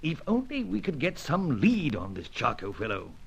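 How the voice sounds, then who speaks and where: A man's voice: fairly high pitch, wildly swooping pitch, normal speed, creaky, husky, full character voice. A man playing a teasing, jovial spy chief in a vintage radio serial, pompous and avuncular, with an upper-class British accent. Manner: pompous, old-fashioned, avuncular.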